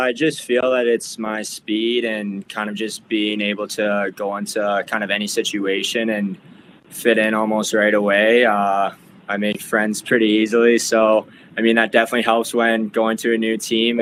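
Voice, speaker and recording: Young male athlete, low monotone, faint room ambience. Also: moderate pace, a little hiss or hum, poor audio. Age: young adult. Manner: modest, hesitant, uh-filled. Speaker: lacrosse player. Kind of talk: interview answers.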